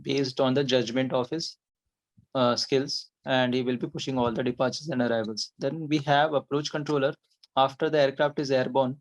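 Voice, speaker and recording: A man's voice: mid-range voice, steady tone, normal speed, dull audio. A man with an Indian accent, giving a methodical, step-by-step technical explanation as an interview guest. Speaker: air traffic controller, podcast guest.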